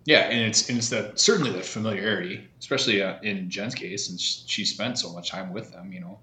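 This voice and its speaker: A man in his thirties chatting casually as a podcast guest, halting with many fillers and restarts. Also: fairly low pitch, sharp tone, roomy sound, poor audio. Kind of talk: casual conversation.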